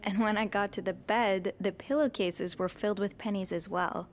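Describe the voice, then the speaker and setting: A woman's voice: mid-range voice, fairly even intonation, normal speed, slight background noise, lightly acted. A woman voicing a reserved, curious hotel maid in a narrative video game. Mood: hesitant, reserved. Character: young female hotel maid, nosy, reserved, soft-spoken.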